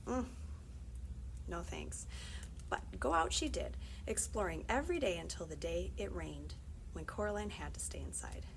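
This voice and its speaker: A woman's voice: lowish voice, animated, normal speed, vocal fry, a little hiss or hum, low-quality recording. A woman reads a story aloud expressively, dramatically, with wry asides. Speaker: library story reader.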